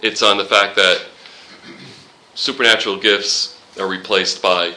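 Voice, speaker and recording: A man leads a relaxed Bible class, quoting verses and joking with his students. His voice is pitched a little low but sounds very bright and forward. A faint hiss sits under the recording. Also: a little slow, low-quality recording. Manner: conversational, quotes scripture, jokes with the class. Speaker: Bible study teacher. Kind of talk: informal Bible class teaching.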